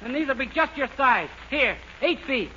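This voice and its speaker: An actor playing a naive young shop clerk in a 1940s radio comedy. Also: male voice, extremely high pitch, lively intonation, moderate pace, bright, forceful tone, heavily acted. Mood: brash, rude. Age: young adult. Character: naive, timid young shoe clerk turned brashly rude. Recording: noisy, muffled old radio recording.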